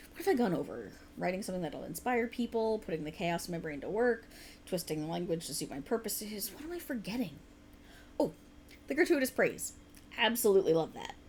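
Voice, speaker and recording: A woman's voice: medium pitch, a little hiss or hum. A woman acting a sleep-deprived, stubborn writer girlfriend in a conversational ASMR roleplay, with a teasing, dramatic, bantering manner. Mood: smug, teasing.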